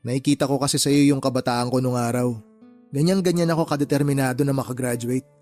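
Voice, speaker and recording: An actor playing a caring, determined uncle with a Filipino accent, earnest and encouraging, in a radio drama. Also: male voice, mid-range voice, fairly even intonation, quick pace, a little mellow, lightly acted. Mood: warm, encouraging. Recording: music bed behind the voice.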